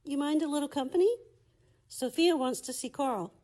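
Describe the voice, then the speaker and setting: A woman's voice: high-pitched, normal speed, dark tone, lightly acted. An actress playing a sweet, sociable mother in a motion comic, cheerful and doting. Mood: fond.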